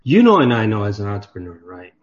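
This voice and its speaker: A man's voice: fairly low pitch, fast. A man on a podcast, chatting casually and acting out the dialogue in his stories. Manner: animated storyteller, acts out dialogue. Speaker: podcast guest. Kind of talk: casual chat. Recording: dull lo-fi audio.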